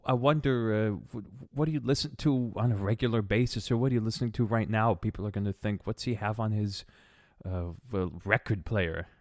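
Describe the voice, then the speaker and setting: A man's voice: on the low side, brisk, darkish tone, dry recording, clean studio sound. A man hosting a podcast interview, asking questions with many hesitations and fillers. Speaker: podcast host. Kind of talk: interview conversation.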